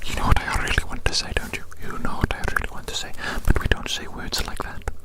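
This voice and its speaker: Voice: fast, natural voice. Whispering, fussy creature examiner voiced by an ASMR performer. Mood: fussy, grumbling. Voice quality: breathy unvoiced whisper. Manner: repeats phrases, fussy, mock-stern.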